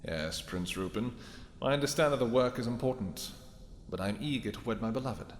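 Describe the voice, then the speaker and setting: A man's voice: medium pitch, animated, fairly quick, slight background noise, close to an everyday voice. A man playing a tender, possessive vampire king with formal, courtly phrasing in a romantic fantasy audio roleplay. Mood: firm, insistent.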